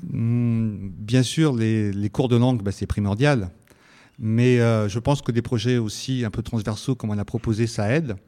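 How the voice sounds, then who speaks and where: A man whose first language is Italian, answering interview questions thoughtfully with frequent pauses and restarts. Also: medium pitch, steady tone, mellow. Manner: thoughtful, hesitant, many restarts.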